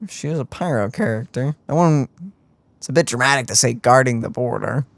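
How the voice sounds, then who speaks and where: A man's voice: medium pitch, brisk, gravelly, no room sound. A man co-hosting a game stream with casual reaction commentary. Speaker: streamer co-host.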